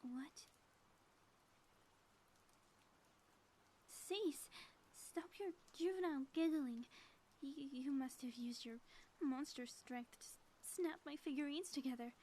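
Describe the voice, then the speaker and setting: A woman playing a sly, theatrical supervillain inventor in an ASMR audio roleplay, speaking softly with pompous, taunting phrasing and often dropping toward a whisper. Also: high voice, measured pace. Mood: flustered, indignant. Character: gloating female supervillain inventor, sly, theatrical, secretly smitten.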